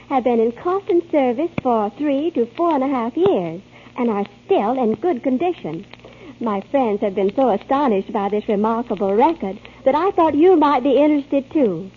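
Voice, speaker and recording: A woman reading a scripted testimonial in a 1940s radio advertisement. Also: a little high. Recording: tinny, noisy 1940s radio broadcast.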